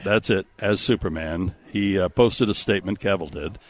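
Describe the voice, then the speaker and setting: A man's voice: sits low, normal speed. A man hosting a radio movie show with casual, jokey banter and emphatic, conversational delivery. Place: radio studio.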